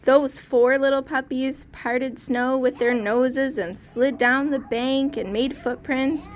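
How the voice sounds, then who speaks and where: A woman teacher reading a storybook aloud to young children, expressive and pausing to ask questions. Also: high voice, slight background noise.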